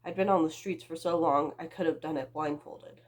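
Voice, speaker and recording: A woman's voice: deep voice, fairly even intonation, fairly quick. A young woman reading her own story aloud as a book vlogger, with a dramatic, self-mocking delivery and amused asides.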